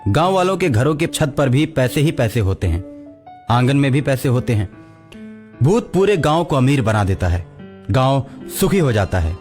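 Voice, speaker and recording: A man's voice: medium pitch, big pitch swings, normal speed, a little mellow, soft background music. A man narrating a scripted cartoon story as the storyteller.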